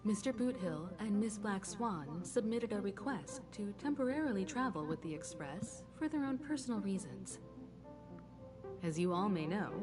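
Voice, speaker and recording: Actress as a composed, expressive woman navigator. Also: mid-range voice, natural voice. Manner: measured, courteous, formal. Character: composed, polite adult woman, a train crew's navigator. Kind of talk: video game character acting.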